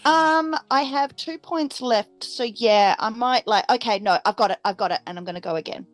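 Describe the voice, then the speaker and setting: A woman's voice: on the high side, normal speed, dry recording, faint music behind. A woman playing a tabletop roleplaying game, chatting casually and thinking aloud hesitantly.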